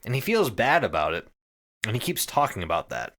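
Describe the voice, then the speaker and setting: Animated, bright-voiced man recounting a story. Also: on the low side, brisk. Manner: profane, animated retelling. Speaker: podcast host. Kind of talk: casual storytelling recap with co-hosts.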